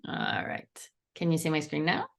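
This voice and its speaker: A woman's voice: low voice, lively intonation, moderate pace, gravelly. A woman from Brazil, a coach and author, giving a chatty webinar presentation over a video call, with frequent fillers and playful asides. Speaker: guest speaker, coach and author.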